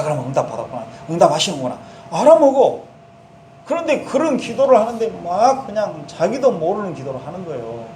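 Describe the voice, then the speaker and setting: A man's voice: a little high, mellow, echoey room, a little hiss or hum. A male pastor with a Jeolla accent, giving a conversational sermon and voicing sample prayers in the first person. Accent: Jeolla dialect.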